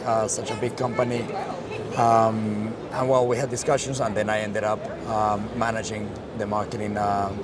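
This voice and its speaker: A man with a Colombian accent, a Spanish speaker, telling his career story in a casual interview, with many fillers and restarts. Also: medium pitch. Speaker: interview guest, marketing director. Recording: street noise behind.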